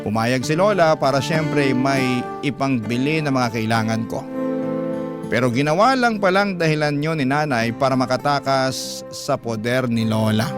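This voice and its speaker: A male host reading a listener's letter aloud in a slow, emotive, classic radio storytelling manner. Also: medium pitch. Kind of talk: dramatic storytelling narration. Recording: guitar music under the voice. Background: guitar music.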